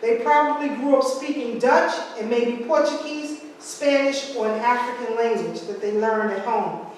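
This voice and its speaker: A woman's voice: a little high, moderate pace, a little mellow, slight background noise. A woman giving a history lecture from slides, breaking off with asides and rhetorical questions to her audience. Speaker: history speaker. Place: large room with hard walls. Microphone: far camera. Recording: echoey hall from far off.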